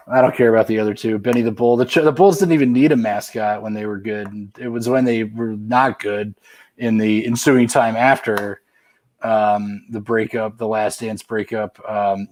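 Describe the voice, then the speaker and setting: A man's voice: lowish voice, a little mellow. A man in casual sports banter as a talk show panelist, opinionated, sarcastic and often dismissive.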